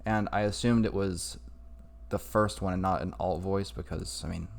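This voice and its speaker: Low-voiced man giving a casual tutorial. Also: fairly even intonation, normal speed, creaky. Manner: informal, improvised walk-through. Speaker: tutorial maker. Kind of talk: casual screen-recorded tutorial.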